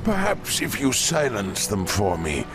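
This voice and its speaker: A man's voice: lowish voice, wildly swooping pitch, over music. A man voicing a weary, haunted old orc warlock, telling his tale solemnly in a fantasy game. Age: older. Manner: solemn, slow storytelling. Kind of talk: dramatic fantasy game acting.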